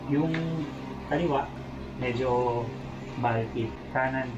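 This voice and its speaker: Filipino man thinking aloud over loud music. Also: medium pitch, fairly even intonation, slow pace, muffled recording. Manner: informal, thinking aloud in short fragments while working. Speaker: motovlogger showing a helmet modification. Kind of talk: casual hands-on tutorial chat to viewers.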